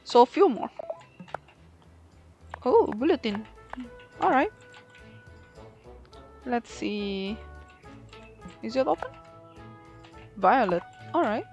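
A woman's voice: on the high side, very animated, takes it slowly, long pauses, darkish tone, soft background music. A woman doing casual gameplay commentary, exclaiming and talking to herself as she plays. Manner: exclamatory, reactive. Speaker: gaming streamer.

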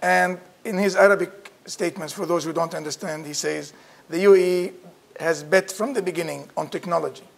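A middle-aged man opens the event from a podium microphone in a lecture room. His voice sits a little high and carries a gravelly edge, and his delivery is formal with small restarts. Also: fairly quick. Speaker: host introducing a speaker. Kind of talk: formal introduction.

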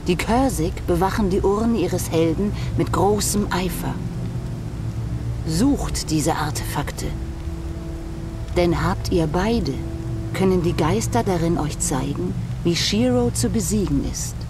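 Slow, solemn warrior woman ghost, played by an actress. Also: fairly low pitch, lightly acted. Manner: solemn, measured, dignified. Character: ghost of a proud, calm female warrior. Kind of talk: dramatic video game acting. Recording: music behind the voice.

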